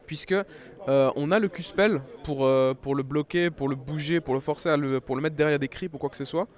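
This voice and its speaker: A man's voice: a little high, brisk, a little mellow. Man with a cold casting esports. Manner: chatty, with frequent fillers and restarts. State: has a head cold. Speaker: esports caster. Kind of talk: live esports commentary.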